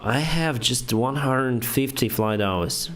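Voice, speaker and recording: A young man whose first language is Russian, speaking English haltingly with many fillers. He reads viewer questions aloud and answers them on a casual live stream. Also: mid-range voice, fairly bright tone. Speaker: airline pilot streamer answering chat.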